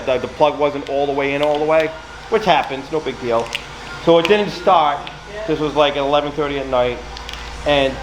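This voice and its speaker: A man, a mechanic hosting a vlog, telling casual, rambling stories about shop work. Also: on the high side. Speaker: mechanic and storytelling host. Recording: noisy workshop, steady background hum.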